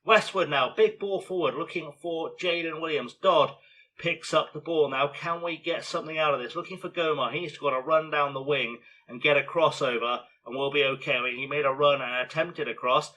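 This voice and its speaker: A man with a scratchy, hoarse voice giving chatty, self-mocking play-by-play commentary on a football management game. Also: fairly high pitch, fairly quick, sharp tone. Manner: chatty, self-mocking, narrates match action. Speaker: video game streamer.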